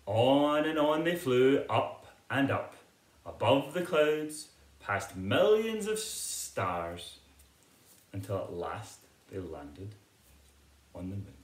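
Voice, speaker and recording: A middle-aged man tells a children's story in an expressive, swooping voice. He takes his time over each phrase. The sound is roomy, as if recorded in a living room a little way from the mic. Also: mid-range voice, takes it slowly. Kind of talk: children's picture-book read-aloud. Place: living room at home, indoors.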